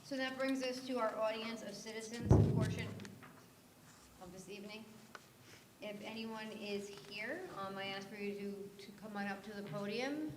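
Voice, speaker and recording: Woman, board chair, brisk procedural delivery, roomy hall sound with noticeable room noise. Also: medium pitch. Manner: brisk, procedural, a little informal. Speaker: board chair running the meeting. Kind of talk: formal meeting procedure. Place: public meeting room.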